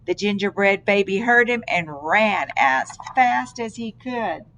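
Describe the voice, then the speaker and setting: A woman reads a children's storybook aloud at a slow pace, with a mid-range voice. She phrases things deliberately and dramatically, giving the telling an expressive shape. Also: mid-range voice, a little hiss or hum. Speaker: storybook reader.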